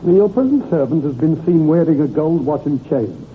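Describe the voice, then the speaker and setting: A man's voice: fairly high pitch, animated, normal speed, lightly acted. A man playing a courteous, probing British police inspector in a 1950s radio drama, polite and matter-of-fact. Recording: tinny, noisy old radio recording.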